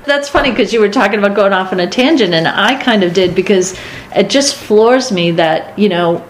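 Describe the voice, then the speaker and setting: A woman with a Boston accent, co-hosting a podcast in casual discussion, getting animated as she makes her points. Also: normal speed, music in the background. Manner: animated, rises in pitch when excited. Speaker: podcast co-host. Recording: echoey room with faint noise.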